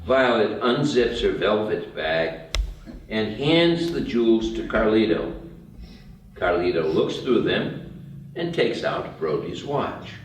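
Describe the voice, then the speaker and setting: A man's voice: lowish voice, big pitch swings, slow pace, slight background noise. A man reading stage directions as the narrator of a screenplay table read. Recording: very echoey room, distant mic.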